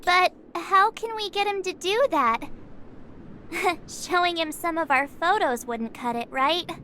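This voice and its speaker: A woman playing a perky, expressive teenage girl adventurer in a video game, with an informal, cute delivery. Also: extremely high pitch, a little slow, slight background noise, exaggerated voice. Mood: skeptical, playful.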